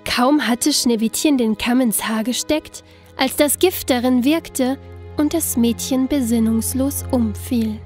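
A woman narrating a scripted fairy tale in an expressive, deliberate storytelling manner. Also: on the high side, faint music behind.

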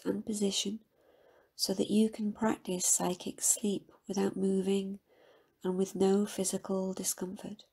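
A woman guiding a scripted meditation in a calm, measured, soft-spoken manner with a husky, breathy voice. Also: medium pitch. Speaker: meditation guide. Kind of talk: guided meditation, scripted soothing narration.

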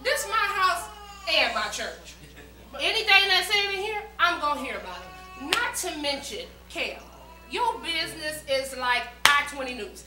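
A woman acting a high, sassy, judgmental church first lady. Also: big pitch swings, a little slow, music in the background. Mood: indignant. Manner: scolding, combative, sharp-tongued. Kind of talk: amateur gospel stage play. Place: church sanctuary stage before a live audience. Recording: echoey church hall.

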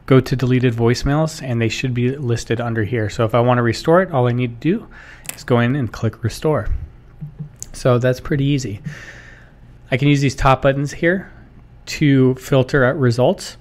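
A man in his thirties narrates a software walkthrough. His voice sits at a medium pitch, and he explains each step informally, as a presenter would in a tutorial. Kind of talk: casual instructional screen-share narration.

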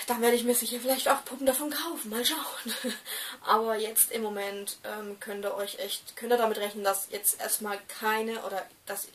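A young woman in her twenties talks straight to her webcam in a small room. Her voice sits a little high, and she sounds set back slightly from the mic. She hesitates often, with plenty of fillers and restarts. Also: a bit bright, distant mic. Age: 20s. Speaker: doll collector vlogger. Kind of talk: casual talk to camera.

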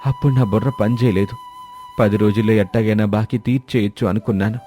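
A man's voice: lowish voice, steady tone, quick pace, mellow, soft background music, lightly acted. A man playing a naive village farmer and husband in a moral story, gloomy and resigned as he laments. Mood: regretful. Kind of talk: dramatized Telugu moral story.

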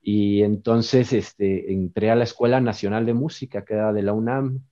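A man's voice: fairly low pitch, steady tone, moderate pace, warm tone. A man in his forties from Mexico City with a Mexico City accent, telling his story as an interview guest in an easygoing way from a home studio. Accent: Mexico City Spanish. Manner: easygoing, many fillers and tag questions. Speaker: musician guest. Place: home music studio, indoors. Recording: dull lo-fi video-call audio.

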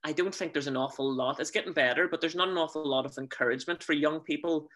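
A man's voice: fairly high pitch, fast talker, bright, forceful tone. A man in his thirties with a Northern Irish accent, chatting as an interview guest over a video call from a small room at home, telling stories with many fillers. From Northern Ireland. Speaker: guest, stage actor and singer. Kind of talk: casual conversational interview. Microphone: webcam on a video call.

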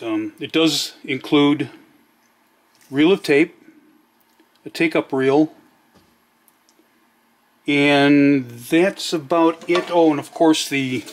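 Higher-pitched man demonstrating a gadget. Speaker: demonstrator of vintage electronics. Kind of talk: casual product demonstration narration.

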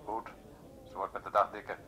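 Low-voiced, wry police detective. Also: male voice, flat delivery, a little fast, sharp tone. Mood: wry, teasing. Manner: wry, teasing. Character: dry, teasing male police detective, colleague and friend. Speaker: actor in a radio drama. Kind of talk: Dutch radio play acting.

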